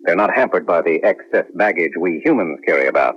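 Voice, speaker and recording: A man's voice: sits low, expressive, fairly quick, a little hiss or hum. An American man acting a stern, proud colonel who trains clones, commanding, in a 1970s radio drama. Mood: assured. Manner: commanding, authoritative. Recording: muffled lo-fi 1970s radio broadcast.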